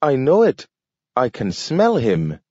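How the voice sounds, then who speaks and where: A man's voice: mid-range voice, huge pitch swings, slow pace, a little mellow, slightly rough, dry recording, pitched above the performer's own voice, exaggerated voice. A man voicing a friendly, eager young male dog who speaks with careful pronunciation and odd intonation, like someone learning the language. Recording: dull lo-fi recording.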